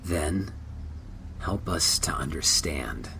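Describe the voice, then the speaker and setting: An actor playing a sober, probing spaceship crewman in a sci-fi audio drama, speaking in a measured, naturalistic way. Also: rumbling low, sharp tone, slight background noise, heavily acted. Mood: coaxing. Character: sober, probing spaceship crew member, interrogating.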